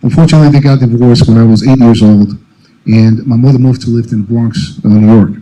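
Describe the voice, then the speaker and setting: Earnest middle-aged man, dark mellow voice. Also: mid-range voice, fairly even intonation, rough recording. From Dominican Republic. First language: Spanish. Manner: many uh and um fillers, measured and earnest. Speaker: alumni speaker. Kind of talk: prepared commencement speech.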